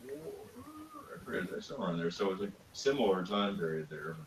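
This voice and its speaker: A man's voice: deep voice, wildly swooping pitch, normal speed, a little hiss or hum. A man chatting casually as a podcast co-host. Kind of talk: casual chat. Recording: roomy, distant from microphone.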